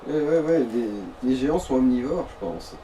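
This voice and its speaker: A man talks casually as a companion in a gaming session, offering slangy advice. His pitch is average. He is heard from a little distance, over clearly audible noise. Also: expressive, moderate pace, dark tone. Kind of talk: casual gameplay chat. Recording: noisy, distant mic, game ambience.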